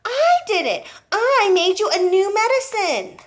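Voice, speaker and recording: Eager, boastful little boy, shrill and keen, put on by a woman reading a storybook. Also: sing-song, unhurried, exaggerated voice. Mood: pleading. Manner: shouting, breathless and eager.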